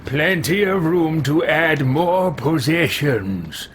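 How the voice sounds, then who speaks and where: Man putting on a pompous, slow-talking fantasy adventurer. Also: on the high side, animated, slight background noise. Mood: smug, greedy. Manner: pompous, drawn-out, savoring delivery. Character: pompous, acquisitive male fantasy adventurer, would-be emperor.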